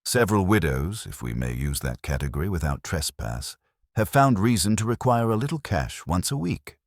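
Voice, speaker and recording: A man's voice: low-pitched, sing-song, moderate pace, dry recording, clean studio sound. A man voicing a cautious, discreet male bank manager who speaks formally and pompously, in an audiobook story. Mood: reluctant.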